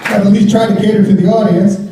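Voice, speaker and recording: Wry American stand-up comic, roomy stage. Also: male voice, high-pitched, fairly even intonation, fast, a crowd in the background, noisy background. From United States. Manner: wry, conversational, chuckling at his own jokes. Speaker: stand-up comedian. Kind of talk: stand-up comedy set. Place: theater stage before a live audience. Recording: roomy theater sound, mic at a distance.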